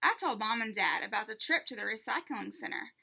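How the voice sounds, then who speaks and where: A woman reading a children's story aloud in an expressive, deliberate way meant for young listeners. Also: fairly high pitch, creaky, breathy edge.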